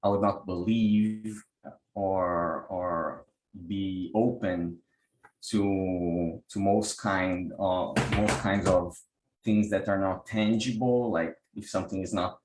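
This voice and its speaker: Brazilian guy, really low voice, stumbles and restarts a lot, video call in an echoey room. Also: fairly even intonation, slow pace, a little mellow, slight background noise. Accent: Brazilian Portuguese. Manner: hesitant, many restarts and repeated words. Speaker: workshop presenter, pranic healer. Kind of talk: online workshop talk.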